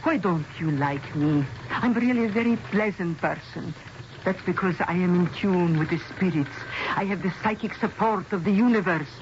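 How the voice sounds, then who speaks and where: An actress playing a serene, persistent psychic medium in a radio mystery, formal and theatrical. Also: female voice, lowish voice, normal speed, close to an everyday voice. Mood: serenely confident. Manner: formal, grandiose, unflappable. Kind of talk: old-time radio mystery drama acting. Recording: dull, noisy old radio broadcast recording.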